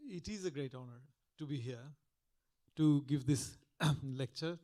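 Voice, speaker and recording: Soft-spoken older man lecturing. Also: medium pitch, unhurried. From Calcutta, India. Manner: soft-spoken, reflective, with frequent restarts. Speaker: guest lecturer. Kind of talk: public lecture. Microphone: podium microphone.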